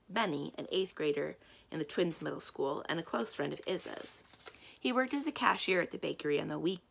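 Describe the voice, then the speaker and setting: A woman's voice: lowish voice, gravelly, fairly bright tone, husky. A young adult American woman narrating a children's book as a read-aloud. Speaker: library read-aloud reader.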